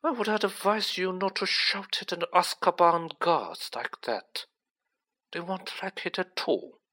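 A man voicing a cold, sneering aristocratic villain in a storybook, smooth and condescending. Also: high-pitched, animated, unhurried, sharp tone, pitched above the performer's own voice, exaggerated voice. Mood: mocking.

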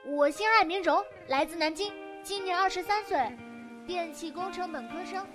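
A woman's voice: extremely high pitch, normal speed. A woman playing a playful, girlish young shop helper in an audio drama, cutesy and whiny. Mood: perky. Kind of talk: Chinese audio drama acting. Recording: music behind the voice.

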